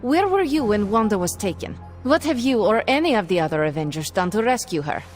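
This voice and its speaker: A woman's voice: medium pitch, sing-song, brisk, close to an everyday voice. A woman acting a proud, distrustful young sorceress in a video game, curt and scornful with formal phrasing. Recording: faint music behind.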